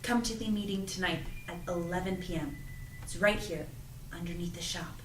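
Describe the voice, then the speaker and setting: A woman's voice: lowish voice, normal speed, a little hiss or hum, lightly acted. Casting: actress as secretive, earnest teen girl, audio drama; roomy, off-mic sound. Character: earnest, secretive teenage girl, friendly shop helper. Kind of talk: audio drama cast table read. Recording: roomy, distant mic, music underneath.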